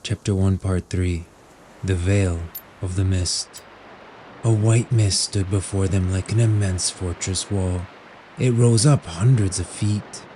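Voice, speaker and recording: A man's voice: deep voice, fairly even intonation, slow pace, warm tone, slight background noise, low-quality recording. A man reading scripted storybook narration as the narrator of an audio drama. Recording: music under the voice. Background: background music.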